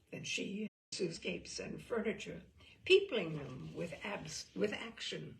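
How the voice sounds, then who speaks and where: A woman reading a short story aloud in an expressive, measured, dramatic storytelling manner. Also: on the low side, gravelly. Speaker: reader of short fiction. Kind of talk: expressive literary narration read aloud.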